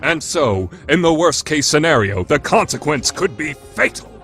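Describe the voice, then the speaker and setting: A man's voice: a little high, very animated, moderate pace, fairly bright tone. Man as dramatic, solemn anime narrator. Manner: dramatic, declamatory. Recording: background music under the voice.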